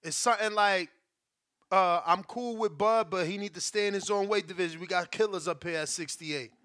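A man co-hosting a casual boxing chat show, talking in an animated, argumentative, slangy way. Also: high voice, brisk. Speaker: podcast co-host.

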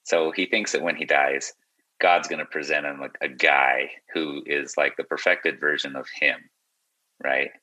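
A man's voice: very deep voice, fairly even intonation, measured pace, bright, forceful tone, low-quality recording. A young man speaking as a podcast guest, reflective and casual, feeling his way through his thoughts. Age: young adult.

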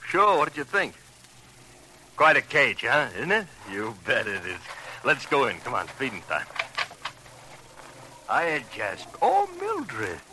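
A man's voice: medium pitch, huge pitch swings, measured pace, long pauses. An actor playing an effusive, evasive retired crook who dotes on his pigeons and repeats his words, in an old radio crime drama. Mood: proud, doting. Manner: effusive, repeats words, coos over birds. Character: jovial, slippery ex-con pigeon fancier, doting and evasive. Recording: noisy old radio recording.

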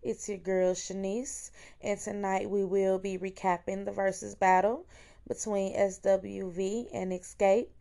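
A young woman with a hoarse voice hosts her own review podcast, talking casually and enthusiastically with fillers and restarts. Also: medium pitch, flat delivery, measured pace, mellow. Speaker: podcast host. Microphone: home recording, voice message style.

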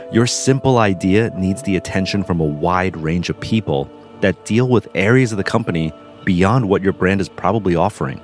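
Male podcast host, low voice, steady instructive read, music bed underneath. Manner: measured, instructive narration. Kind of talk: scripted narration and casual interview.

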